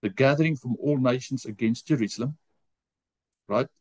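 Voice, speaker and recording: A man's voice: mid-range voice, darkish tone. Afrikaans-accented man teaching an online Bible class. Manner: reads scripture aloud slowly, with frequent self-corrections. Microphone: video call.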